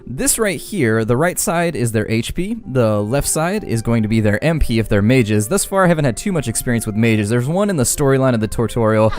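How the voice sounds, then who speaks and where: A man's voice: mid-range voice, sing-song, fairly quick, hardly any pauses, a little mellow. A man giving casual, chatty let's-play commentary on a game, with folksy slangy phrasing. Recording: game music behind the voice. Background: game music.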